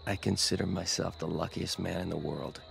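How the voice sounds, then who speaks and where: An actor playing an earnest, devoted and self-assured young fiancé in a radio drama, with a gravelly voice. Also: male voice, low-pitched, steady tone, a little fast, fairly bright tone, slight background noise, full character voice. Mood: sincere, loving.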